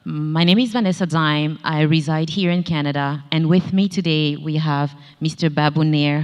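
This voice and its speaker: A woman's voice: sits low, clear, resonant tone. A woman from Gabon with a Gabonese French accent, moderating a conference panel in a formal, careful manner. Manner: formal, reads introductions carefully. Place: large conference hall. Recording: echoey hall, long reverb.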